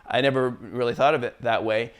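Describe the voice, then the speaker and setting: A man's voice: medium pitch, fairly quick, clear, resonant tone. Chatty Canadian man hosting an interview. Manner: relaxed, chatty, laughs often. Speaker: podcast host. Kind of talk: casual conversational interview.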